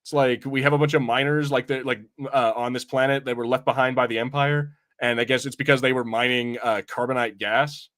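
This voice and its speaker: A man's voice: medium pitch, fairly even intonation, a little fast, hardly any pauses, a bit bright. A man hosting a podcast, chatting casually in a rambling, informal, sometimes sweary way about what he has watched. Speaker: podcast host. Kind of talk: casual review chat.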